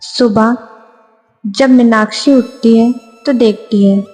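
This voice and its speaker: A woman's voice: a little high, steady tone, takes it slowly, dark tone. A woman narrating a scripted moral story for a cartoon. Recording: long reverb, music behind.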